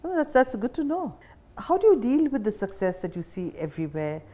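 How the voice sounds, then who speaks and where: A woman's voice: fairly high pitch, very animated, fairly quick, dark tone. A woman with an Indian accent hosting a conversational interview, putting questions to her guest. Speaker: interviewer, show host.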